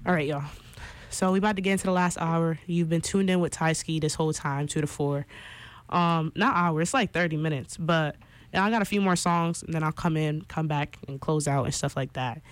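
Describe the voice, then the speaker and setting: A woman's voice: deep voice, fairly quick, dry recording. Chatty young woman radio host, dry sound. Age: 20s. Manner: animated, chatty, opinionated, lots of fillers. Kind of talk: casual radio talk segment.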